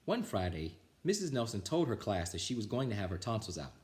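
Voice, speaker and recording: A man with a fairly low voice reads a children's story aloud. His pitch swings very widely as he goes, and the reading is expressive, with the odd small stumble. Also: fairly quick. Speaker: storytime reader. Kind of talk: children's picture book read-aloud.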